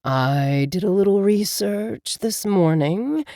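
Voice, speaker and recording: Woman doing a gentle male cat familiar, caring, unhurried delivery. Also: mid-range voice, expressive, dry recording, studio quality. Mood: concerned.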